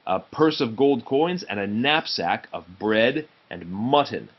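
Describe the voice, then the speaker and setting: A man reading a children's storybook aloud in an expressive narrating style. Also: medium pitch, takes it slowly, highs cut off. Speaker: storybook reader.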